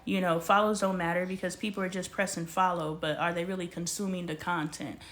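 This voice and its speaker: A woman in her forties hosting a casual livestream, talking straight to her viewers in an animated, slangy way. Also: fairly low pitch, fairly quick. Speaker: livestream host.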